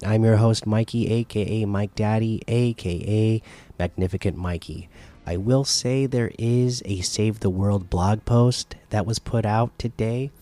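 A man's voice: on the low side, fairly even intonation, unhurried, dark tone. A man hosting a casual solo gaming podcast who is losing his voice, reading through lists plainly with frequent uhs at a close desk mic. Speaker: podcast host.

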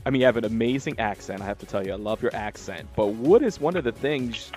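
A man hosting a radio show, interviewing a guest in a relaxed, casual way and laughing often. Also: on the low side, fast, faint music behind. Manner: laid-back, laughs often. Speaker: radio host.

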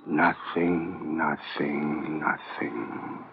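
An actor playing a proud, weary psychiatrist in a classic radio drama, speaking in formal, deliberate, stilted phrases. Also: male voice, a bass voice, gravelly, slight background noise, heavily acted. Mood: mournful, fervent. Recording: tinny, narrow old radio recording.

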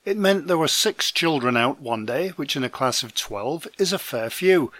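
A man hosting a podcast news roundup, his voice deepened by a head cold, reading from a script with dry, self-deprecating asides. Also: animated, normal speed, a bit bright, no echo. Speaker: podcast host and trade magazine editor. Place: home in Scotland.

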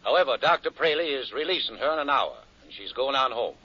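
Combative small-town lawyer: curt, husky, mid-pitched, confrontational, on a dull old radio-drama recording. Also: male voice, expressive, fast talker, bright, forceful tone, no echo. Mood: hostile, curt. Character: combative, protective small-town county attorney, curt and hostile. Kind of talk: 1950s radio drama acting.

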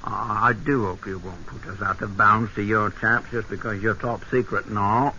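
A chatty rustic British innkeeper, eager to serve and a little hesitant, in a piercingly bright voice. The old radio drama recording is dull, with a faint hiss. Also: male voice, fairly low pitch, steady tone, normal speed. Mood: sheepish. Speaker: actor.

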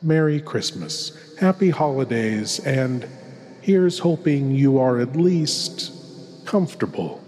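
Male horror host, mid pitch, husky, theatrical and deliberate, spooky showman delivery. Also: lively intonation, a little mellow, faint music behind. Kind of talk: theatrical scripted narration.

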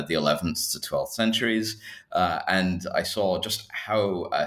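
A man from Scotland with a low voice talks as a scholarly interview guest. He explains at length in a conversational way. His delivery is measured and somewhat hesitant, with digressions along the way. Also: a bit bright. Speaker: guest academic, history professor.

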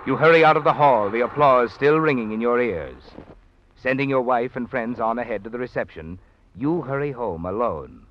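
A man's voice: mid-range voice, lively intonation, normal speed, dull audio, slight background noise, close to an everyday voice. An actor playing an ominous, all-knowing narrator in a 1940s radio mystery, addressing the guilty man dramatically. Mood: tense, knowing. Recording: old band-limited radio recording, loud music.

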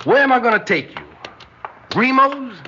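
An actor playing a smooth-talking, scheming young man in a radio drama, with folksy, drawn-out phrasing. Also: very high-pitched, animated. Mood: flattering. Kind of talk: vintage-style radio drama acting. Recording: dull lo-fi radio recording, faint noise.

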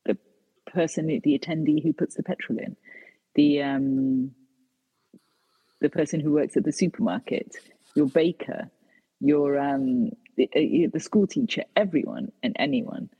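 A woman's voice: low-pitched, unhurried, husky, big-room sound, muffled recording. A British woman, an author and guest, answering interview questions with many fillers and restarts. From Britain.

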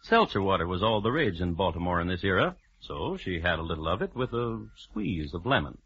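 Low-voiced, droll crime-story host. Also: male voice, breathy edge, heavily acted. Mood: wry. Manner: deadpan, measured storyteller. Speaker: host and narrator. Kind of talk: scripted old-time radio narration. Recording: muffled old radio recording, music.

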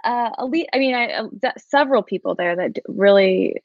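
A woman's voice: a little high, a little fast, creaky, no room sound, dull audio. A woman in her thirties chatting casually, often laughing, hesitant, with many fillers. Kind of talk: casual conversation.

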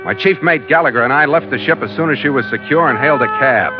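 A man's voice: mid-range voice, quick pace, lightly acted. An actor playing a weary, tough sea captain narrating his log in terse 1940s radio style. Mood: clipped, businesslike. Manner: terse, hard-boiled narration. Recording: muffled old radio recording with music.

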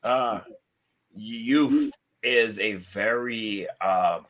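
A man's voice: fairly low pitch, drawn-out words, fairly bright tone. A man chatting on a show, earnestly explaining his views at length. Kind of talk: casual chat.

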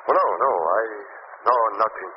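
A man's voice: fairly low pitch, lively intonation, moderate pace, lightly acted. An actor playing a sinister male fake swami who turns out to be a killer, curt and evasive, in 1930s radio drama acting. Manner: curt, evasive, then urgent. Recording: lo-fi noisy old radio recording.

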